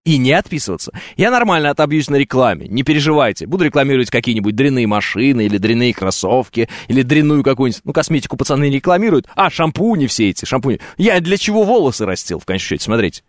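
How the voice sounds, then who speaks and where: A man's voice: medium pitch, sing-song, fast talker, few pauses, no echo, clean studio sound. Wry Russian-speaking man chatting to viewers. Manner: wry, joking, hesitant with fillers. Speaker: streamer reading chat. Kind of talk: casual live stream chat. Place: at home, on camera.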